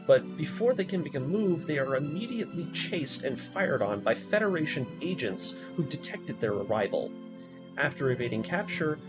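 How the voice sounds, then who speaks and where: A male video narrator delivers a scripted story recap over clearly audible music. His mid-pitched voice moves in a lively, animated way. The delivery is dramatic and deliberate, built for storytelling. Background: background music.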